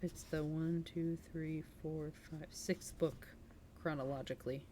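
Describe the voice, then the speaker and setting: A woman's voice: deep voice, fairly even intonation, measured pace, mellow, slight background noise. A woman hosting a livestream, reading aloud in a storytelling style and chatting informally with her viewers. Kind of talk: storytelling read-aloud and casual stream chat.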